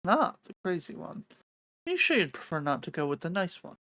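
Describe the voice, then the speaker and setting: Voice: mid-range voice, sing-song, fairly quick, pushed and bright, dry recording, clean studio sound. A streamer voicing a sly, grinning cat-girl from a visual novel, teasing, cryptic and leisurely.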